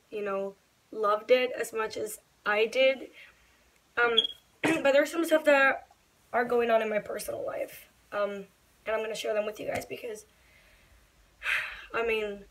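A young woman vlogging to camera in a small bedroom, talking earnestly and openly, her voice slightly raspy and breathy. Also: on the high side, a bit bright, low-quality recording. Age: young adult. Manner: earnest, open, reassuring. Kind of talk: personal vlog talk to camera.

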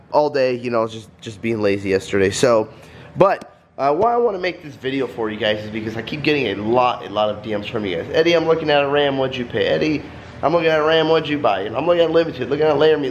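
A man's voice: medium pitch, slight background noise. A man in his thirties with a cold, chatting casually to viewers while filming himself in his home garage. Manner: rambling, chatty, addresses viewers as guys. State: stuffed up from a cold. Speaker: vlogger. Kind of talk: casual vlog talk. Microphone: handheld vlog camera.

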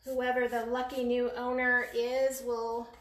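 A middle-aged woman chatting casually to a live stream while working, unhurried and trailing off as she demonstrates a craft. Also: on the high side, completely flat delivery. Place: indoor craft paint shop. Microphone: phone or camera a few feet away. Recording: roomy echo, clear noise, clicks.

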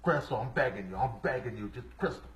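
A man's voice: mid-range voice, fast talker, a bit bright, natural voice. A man voicing a heartbroken, humble male hawk who pleads repetitively in a comedy animal voiceover. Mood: pleading. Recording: roomy, distant, noisy.

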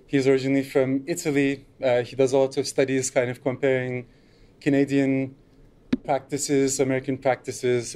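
A man's voice: mid-range voice, warm tone, smooth. A middle-aged man from Turkey giving a conference talk at a lectern, loose and unscripted, with frequent fillers. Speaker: conference speaker. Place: lecture room, at a lectern.

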